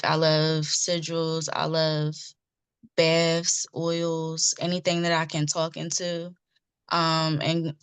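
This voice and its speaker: A woman's voice: fairly low pitch, smooth, no echo. A woman in her thirties introducing herself on a video call, hesitant and full of ums. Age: 30s. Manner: hesitant, many ums, soft-spoken. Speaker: participant.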